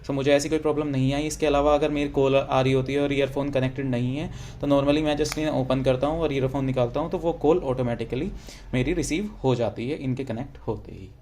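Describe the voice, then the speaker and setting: A man's voice: medium pitch, steady tone, a little fast, darkish tone, slight background noise. An Indian man giving a casual, explanatory product review, mixing English phrases into his speech. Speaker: gadget reviewer.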